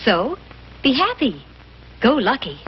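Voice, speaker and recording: A woman's voice: sits high, wildly swooping pitch, slow. A woman delivering a 1950s cigarette commercial jingle. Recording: muffled, noisy old broadcast.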